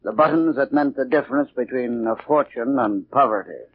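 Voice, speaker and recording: An actor playing a brooding sea captain telling a dark tale in 1940s radio drama, deliberate and ominous, on a dull old recording. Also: male voice, medium pitch, a little hiss or hum, close to an everyday voice. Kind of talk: 1940s radio acting, storytelling.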